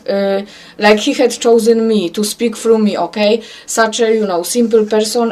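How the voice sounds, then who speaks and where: A woman's voice: a little high, darkish tone. Earnest Polish-accented woman preaching. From Poland. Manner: earnest, repetitive, keeps asking "okay?". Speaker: self-described prophet. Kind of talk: religious monologue to camera.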